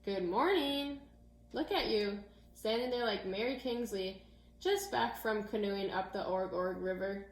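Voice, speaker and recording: A woman's voice: medium pitch, unhurried, lightly acted. Woman playing an encouraging storybook teacher, echoey room. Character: cheerful, encouraging primary school teacher in a storybook.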